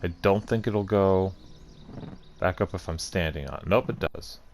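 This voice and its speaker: A man's voice: sits low, normal speed, a little hiss or hum. A man doing casual let's play commentary, thinking aloud and muttering to himself as he plays. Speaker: gaming video host.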